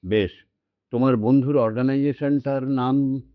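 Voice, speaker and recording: A man's voice: mid-range voice, fairly even intonation, unhurried, dry recording, natural voice. Man acting hesitant, weary old man, muffled audio. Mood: polite, doubtful. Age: older. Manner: hesitant, repeats his words. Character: anxious, lonely, frail old man, hesitant and courteous. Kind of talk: Bengali radio drama acting. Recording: dull lo-fi audio with background music.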